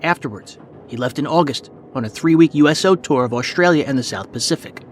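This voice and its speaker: A man's voice: medium pitch, expressive, fairly quick, a little hiss or hum. A man reading scripted documentary narration as a podcast host.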